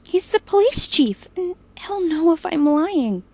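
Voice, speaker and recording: An actress playing an anxious young woman in an audio drama, hesitant and halting in her speech. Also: very high-pitched, very animated, a little mellow, dry recording, full character voice. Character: anxious young woman Ophelia, police chief's daughter, pleading.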